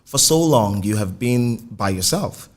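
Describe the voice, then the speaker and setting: A man with a Nigerian accent and a fairly low voice hosts a conversational interview. He probes with questions, often rewording them as he goes. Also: expressive, measured pace. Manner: probing, rephrases his questions. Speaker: interviewer, music journalist.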